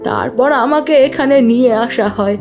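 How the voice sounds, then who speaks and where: Woman acting devoted governess, high voice, slow emphatic delivery, anxious, protective, audio drama, music underneath. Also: close to an everyday voice. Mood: sorrowful reminiscence. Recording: music behind the voice.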